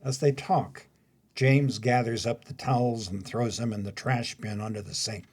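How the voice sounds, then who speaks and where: A man reading scripted announcements and stage directions as a narrator, in a formal, measured way. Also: medium pitch, steady tone, a little mellow, husky.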